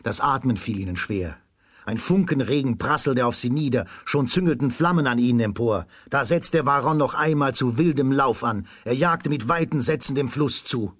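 A man narrating a children's radio play as a scripted storyteller, in a husky voice. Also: medium pitch, lively intonation, moderate pace, dry recording, studio quality.

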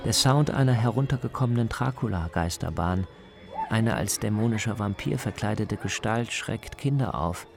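A man narrating a scripted radio travel feature as the reporter, in a measured, descriptive way. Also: fairly low pitch, gravelly, over music.

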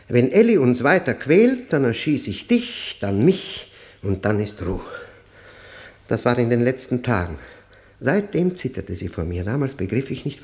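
An actor playing a tormented, bitter man of forty-five, haunted by guilt, halting and brooding in a German radio play. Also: mid-range voice, a little mellow, natural voice.

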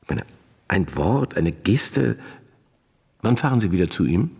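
An actor playing a distinguished, preoccupied middle-aged professor in a radio drama, with a husky voice. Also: male voice, sits low, sing-song, a little slow, mellow, exaggerated voice. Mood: weary, testy. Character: distinguished older Austrian professor, preoccupied, later dazed and dying. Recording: long reverberant hall with music underneath. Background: music.

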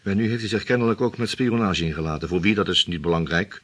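A man's voice: low voice, fast talker, fairly bright tone. A man playing a determined, level-headed detective. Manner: earnest, reasoning aloud. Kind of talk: radio play acting.

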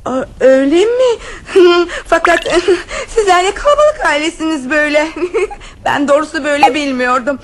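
A woman's voice: high-pitched, lively intonation, moderate pace. An actress playing a vain middle-aged lady visitor who titters and laughs between words. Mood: affected. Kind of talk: radio drama acting.

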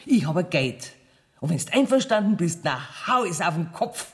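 A proud, scheming Bavarian businesswoman in a radio play. Her delivery is very animated, with big swings in pitch. She speaks broad dialect in a pushy, commanding way. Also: moderate pace. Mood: bossy, scheming. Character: brash, calculating Bavarian businesswoman with money, bossy and proud.

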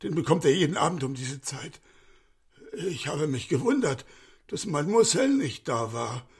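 An older man playing a distraught, deferential elderly manservant in a radio crime comedy, speaking in an agitated, halting way. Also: fairly high pitch, big pitch swings, slightly rough, exaggerated voice. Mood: bewildered, sorrowful.

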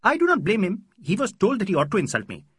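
A man's voice: high-pitched, huge pitch swings, fast talker, a bit bright, husky, dry recording, clean studio sound, pitched above the performer's own voice, heavily acted. A man voicing a gloomy, aggrieved male lawyer who complains with raised voice.

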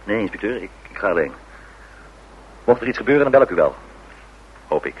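An actor playing a cool, terse private detective in a radio play, deadpan and clipped. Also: male voice, lowish voice, a little fast, lo-fi sound. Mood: cool, guarded. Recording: noisy old radio drama recording.

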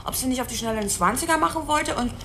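A woman's voice: sits high, racing, background noise. An actress playing a brash young call girl in a radio play, streetwise and crude, talking in offhand slang. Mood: brash, frank. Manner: slangy, drawling, offhand frankness. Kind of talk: dramatic radio play dialogue.

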